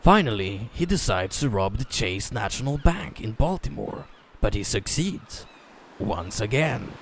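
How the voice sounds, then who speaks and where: A man's voice: lowish voice, very animated, unhurried, a little hiss or hum. Man giving a dramatic story narration.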